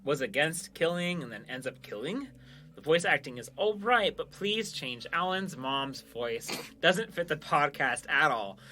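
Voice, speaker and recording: A man's voice: fairly high pitch, very animated, unhurried, pushed and bright. A man co-hosting a podcast in casual banter, speaking informally and laughing easily. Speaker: podcast host, show creator.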